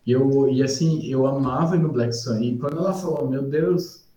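A man, a podcast guest telling stories in a relaxed, rambling way, often tagging sentences with "tá?" and "sabe?". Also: mid-range voice, moderate pace. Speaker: podcast guest, civil engineer. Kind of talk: casual storytelling. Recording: roomy echo.

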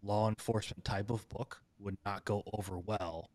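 A man's voice: lowish voice, steady tone, moderate pace, a little mellow, dry recording. An American man hosting a casual interview, rambling with many fillers and frequent laughs. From United States. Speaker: podcast host.

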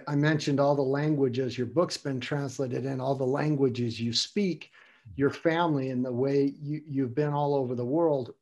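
An older male host with an average-pitched voice. He winds through long questions, doubling back and repeating words while he works out what to ask. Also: a little mellow. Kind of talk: casual interview.

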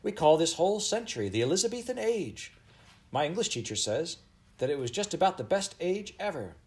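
An earnest, confident boy, voiced by a man reading a storybook. He speaks in a forthright way, with a raised pitch and plenty of melodic movement. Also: very animated, a little fast, darkish tone. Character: truthful, confident youthful boy.

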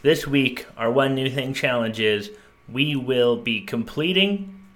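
A man's voice: mid-range voice, slow, fairly bright tone. A young man co-hosting a casual podcast, talking in an upbeat, joking way. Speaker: podcast co-host.

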